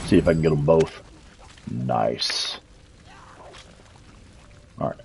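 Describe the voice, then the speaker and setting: A man's voice: a bass voice, slow, creaky, a little mellow, slight background noise. A man with a Texas accent giving laid-back, chatty live commentary over gameplay as a streamer, answering chat and swearing casually. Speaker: gaming streamer.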